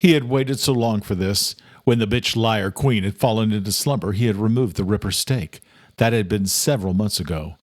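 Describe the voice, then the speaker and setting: A man's voice: lowish voice, moderate pace, no echo. Man narrating a dramatic thriller audiobook, dry sound.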